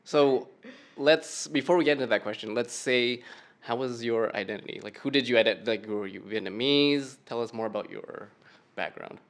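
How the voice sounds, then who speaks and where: Man interviewing casually, mid-pitched voice. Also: moderate pace.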